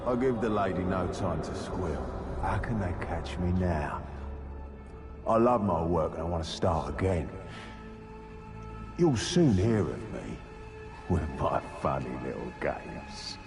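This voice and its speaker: Video game villain: sadistic murderer, low, unhurried, taunting, deliberate delivery under music. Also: male voice, big pitch swings, full character voice. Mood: mocking. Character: gloating, sadistic male serial killer reading his taunting letter. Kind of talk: dramatic villain monologue.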